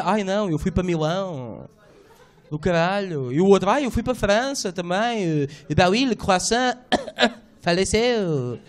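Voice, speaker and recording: A man doing a stand-up set in a small club, slangy and animated, acting out voices and sounds. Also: sits high. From Portugal. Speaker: stand-up comedian. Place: small club stage, indoors. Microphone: handheld mic.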